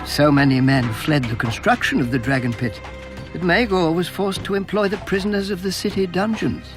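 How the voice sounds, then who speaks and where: A man narrating fantasy history with measured, dramatic storytelling. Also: sits high. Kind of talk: scripted lore narration. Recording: music behind the voice.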